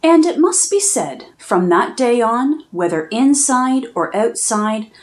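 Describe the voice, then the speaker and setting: A woman reading a children's picture book aloud in a slow, expressive storytelling manner. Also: on the high side.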